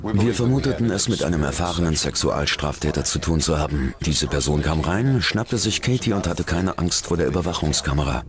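A man's voice: extremely low pitch, lively intonation, a little fast, music in the background. A man voicing an investigator in a dubbed documentary interview. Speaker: voice-over of an investigator.

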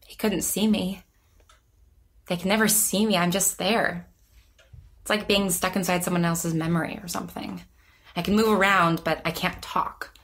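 An actress playing an anxious, lonely woman in her twenties, speaking haltingly and self-deprecatingly in a naturalistic audio drama. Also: on the low side, steady tone, fairly bright tone. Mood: guarded, matter-of-fact. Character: anxious, lonely young woman who vanishes through time.

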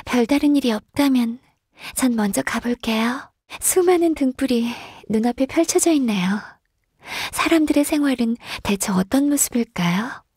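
An actress voicing a gentle, diligent, shy young woman secretary in a video game, speaking softly, politely and hesitantly. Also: a little high, lively intonation, moderate pace, breathy edge, no echo, studio quality. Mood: calm, earnest. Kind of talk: video game character voice lines.

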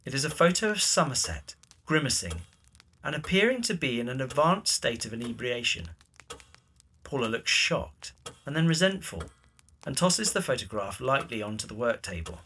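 A man's voice: medium pitch, big pitch swings, normal speed, pushed and bright, husky. A man narrating scripted stage directions for a drama.

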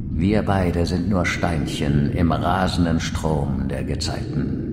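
A man's voice: on the low side, background noise, exaggerated voice. A male actor playing an ancient, fatalistic vampire lord who schemes and speaks solemnly and theatrically in a video game cutscene. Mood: grave, fatalistic. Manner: solemn, theatrical, oratorical delivery. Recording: echoey hall reverb, loud music behind.

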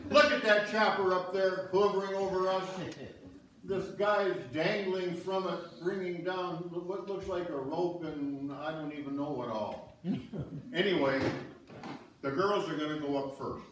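Man acting jubilant stranded fisherman, high folksy voice, stage reading, very echoey. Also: unhurried. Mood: jubilant relief. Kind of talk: amateur readers' theater. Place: church sanctuary stage, indoors. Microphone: distant audience camera. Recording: echoey church hall from far, faint noise.

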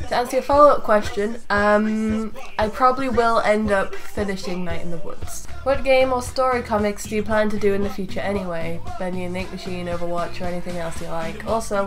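A woman in her twenties chatting casually in a Q&A vlog, with a jokey, sweary manner. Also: medium pitch. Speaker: YouTube host and voice actor. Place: small bedroom, indoors. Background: background music.

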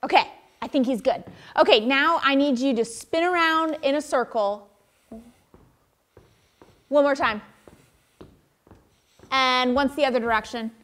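A young woman pastor giving a children's sermon in a church sanctuary, animated and speaking to children, picked up by a camera across the room. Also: high-pitched, a little slow, long pauses. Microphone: far camera.

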